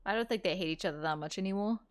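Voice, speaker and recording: A woman's voice: medium pitch, rapid-fire, clean tone. A woman hosting a casual game reaction, chatty and laughing often. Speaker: video host reacting to a game.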